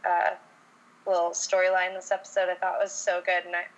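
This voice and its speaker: A woman's voice: fairly low pitch, completely flat delivery, brisk, muffled recording. A woman from Texas chatting casually on a podcast, easygoing and rambling. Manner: easygoing, rambling, lots of ums. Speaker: podcast co-host.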